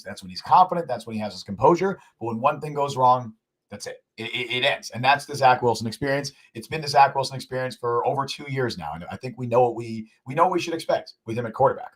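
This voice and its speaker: A man co-hosts a sports podcast with a voice gone husky and hoarse from the weekend. He talks at a rapid clip and repeats phrases for emphasis. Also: mid-range voice, poor audio. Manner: fast, emphatic, repeats himself for stress. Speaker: podcast co-host.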